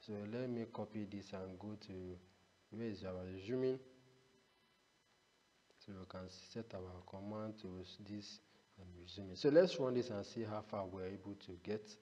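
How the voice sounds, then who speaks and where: Echoey low-voiced man giving a tutorial. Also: normal speed, dark tone, highs cut off. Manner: repetitive, punctuates explanations with rhetorical "what". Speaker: programming tutorial instructor. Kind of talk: unscripted step-by-step screencast tutorial. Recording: echoey reverberant room, noisy background.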